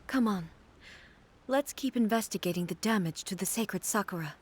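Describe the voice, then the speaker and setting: A woman's voice: mid-range voice, slow pace, slight background noise, lightly acted. A woman playing a solemn, regal warrior god in a video game, speaking in a formal, stately way. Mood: composed.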